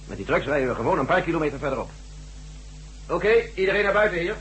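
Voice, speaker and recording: A man's voice: on the high side, expressive, fast, a bit bright, faint music behind. A man playing a practical, authoritative, matter-of-fact mission leader in a radio drama, on a very noisy old recording. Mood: brisk, commanding.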